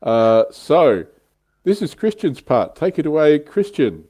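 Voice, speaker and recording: A man's voice: fairly high pitch, very animated, takes it slowly, mellow. A man co-hosting a livestream, chatting casually and explaining his opinions on a hobby. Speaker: livestream co-host. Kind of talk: casual hobby chat about tabletop wargames.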